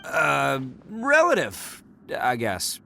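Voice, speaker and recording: A man's voice: on the high side, huge pitch swings, very slow, gravelly, sharp tone, slight background noise, heavily acted. A man voicing a brash, self-assured young brawler hero in a video game, casual, bluff and blunt. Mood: awkward, confident.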